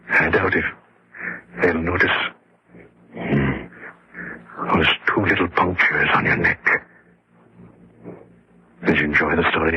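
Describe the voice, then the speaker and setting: An actor playing a sinister, quietly menacing widower telling his tale in an old radio horror drama, insinuating and deliberate. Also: low-pitched, slight background noise. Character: sinister male storyteller, widower turned secret vampire, quietly menacing. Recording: dull, lo-fi old radio recording.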